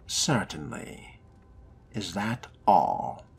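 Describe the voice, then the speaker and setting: Guy doing a deep slow vampire fledgling, breathy drawl, swoops around a lot, music behind, game roleplay. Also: big pitch swings, exaggerated voice. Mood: measured, deferential. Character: low, measured male vampire fledgling, dutiful but privately scornful. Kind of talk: role-play narration of a video game.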